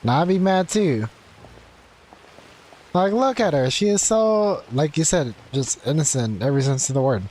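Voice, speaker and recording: A man's voice: a little high, lively intonation, moderate pace, a little mellow, slight background noise. A man giving casual, exclamatory live commentary as he streams a game. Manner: colloquial, exclamatory.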